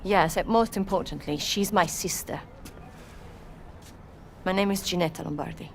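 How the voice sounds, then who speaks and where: A woman playing a sharp, guarded investigative journalist with an Italian accent in a cinematic game, probing and self-assured. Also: on the low side, normal speed, vocal fry, a little hiss or hum. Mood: earnest.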